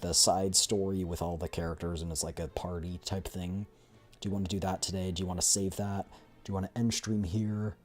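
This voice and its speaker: A male livestream host with a deep voice talks casually to his viewers. The style is informal and conversational.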